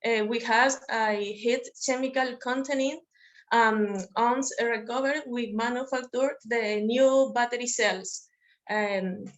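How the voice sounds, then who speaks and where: Hesitant woman, slow. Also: fairly high pitch, low-quality recording. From Argentina. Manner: hesitant, halting, many pauses. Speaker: participant, researcher. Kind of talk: spontaneous comment in a webinar. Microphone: video call.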